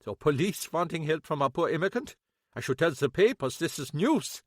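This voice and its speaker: A gruff German-accented immigrant shopkeeper, as a male narrator puts him on, loud and defensive in broken English. The voice sits well above the narrator's own and swings widely as it protests. The recording is dry and close. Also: on the high side, big pitch swings, normal speed, no room sound, pitched above the performer's own voice. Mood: shaken.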